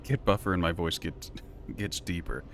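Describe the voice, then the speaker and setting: A man streaming a video game, with a deep voice and dry, muttered asides as he plays. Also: normal speed, a bit bright, a little hiss or hum. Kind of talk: casual gameplay commentary.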